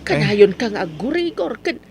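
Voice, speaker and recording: A woman's voice: medium pitch, very animated, normal speed, darkish tone. A Filipino woman with an Ilocano accent acting as a worried, scolding mother in a radio drama, with hum behind her. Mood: worried, nagging.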